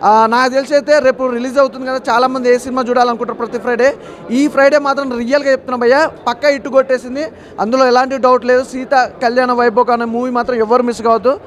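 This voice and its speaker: A man's voice: very high-pitched, flat delivery, racing. A man with a Telugu accent giving a casual, enthusiastic vox pop review as a moviegoer.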